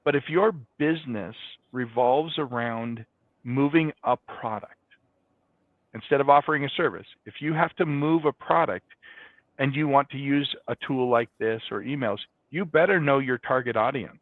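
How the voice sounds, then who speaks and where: A man's voice: medium pitch, normal speed, no room sound. A man giving conversational, explanatory answers as a guest in a business interview. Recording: dull, band-limited, dry sound.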